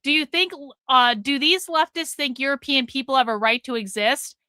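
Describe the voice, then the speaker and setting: A woman's voice: high voice, normal speed, bright, forceful tone, smooth, no echo, clean studio sound. A woman in her forties hosting a livestream, reading viewer super chats and giving blunt, profane commentary. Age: 40s. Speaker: livestream host.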